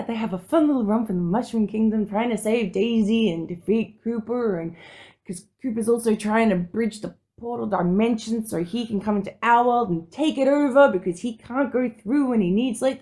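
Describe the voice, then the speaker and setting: A woman chatting casually as a podcast panelist, with an excitable, gushing, informal way of talking. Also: fairly high pitch. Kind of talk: casual chat.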